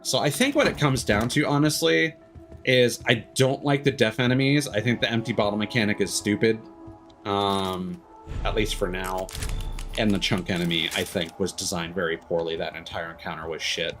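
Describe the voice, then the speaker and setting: Low-pitched man streaming a game. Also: fairly even intonation, normal speed, a bit bright, faint music behind. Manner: opinionated, sweary. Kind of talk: casual live gameplay commentary.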